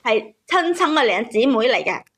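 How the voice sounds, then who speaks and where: High-voiced, plaintive woman caller. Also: big pitch swings, normal speed, fairly bright tone. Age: 30s. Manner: plaintive, aggrieved. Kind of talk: personal storytelling on a call-in.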